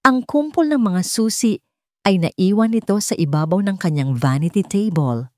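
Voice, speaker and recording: A woman's voice: medium pitch, expressive, normal speed, warm tone, dry recording, clean studio sound. A woman narrator reading a scripted, dramatic love story.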